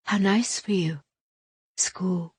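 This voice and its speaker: A woman reading an audiobook, voicing a proud, tired young noblewoman who speaks in terse, quiet lines. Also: lowish voice, steady tone, slow, breathy edge, no room sound, heavily acted. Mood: curt.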